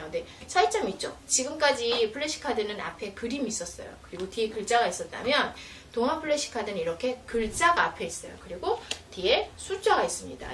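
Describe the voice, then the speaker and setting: A woman's voice: a little high, a bit bright. A woman giving an informal, patient, step-by-step explanation of teaching materials to parents, like an educator. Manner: patient, step-by-step, acts out mother-child example dialogue. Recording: roomy, distant mic, faint noise.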